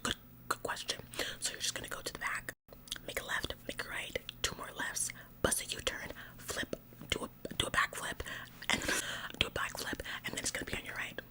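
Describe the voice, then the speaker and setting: A woman voicing a bossy, officious store employee in an ASMR roleplay, nagging in halting, repetitive, passive-aggressive phrases. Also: close to an everyday voice. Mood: eager, chirpy. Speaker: ASMR performer.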